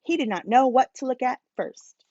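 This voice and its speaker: A woman reading a children's storybook aloud as a teacher, in a simple, expressive manner for young listeners. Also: high-pitched, moderate pace, husky, no echo, highs cut off.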